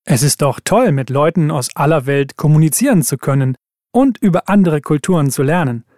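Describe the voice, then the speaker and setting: A man's voice: fairly high pitch, a little fast, no room sound. Careful male reader, dry studio sound. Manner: even, careful scripted delivery. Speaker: exam recording reader. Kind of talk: scripted reading of exam texts.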